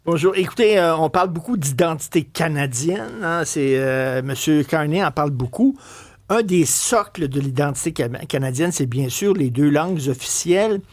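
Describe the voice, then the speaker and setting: A man's voice: a little high, animated, moderate pace, no echo. A man with a Québécois accent hosting a talk radio interview, asking probing questions in a conversational way with hesitant restarts. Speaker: radio host.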